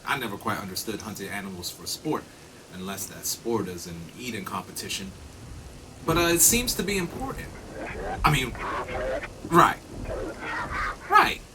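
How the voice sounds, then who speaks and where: A man playing an excitable, ingratiating diner customer in an audio drama, flustered and overly polite as he talks. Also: lowish voice, normal speed, pauses often, noisy background. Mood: eager, polite.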